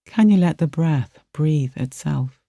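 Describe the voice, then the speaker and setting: A mellow, warm voice leads a guided meditation. The delivery is gentle and soothing, with soft phrases given room to settle. The sound is dry and close, free of room echo. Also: medium pitch, expressive, a little slow, creaky.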